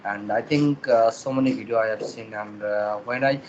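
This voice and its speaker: A young man with a Bengali accent, a native Bengali speaker learning English, talking haltingly and repeating phrases as he asks questions. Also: on the low side, fairly even intonation. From Bengal region of South Asia. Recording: muffled lo-fi call with background noise.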